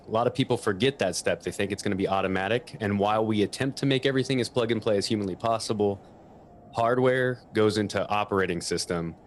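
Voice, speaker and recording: A man's voice: fairly low pitch, slight background noise. A man giving an informal technical explanation as a guest equipment expert.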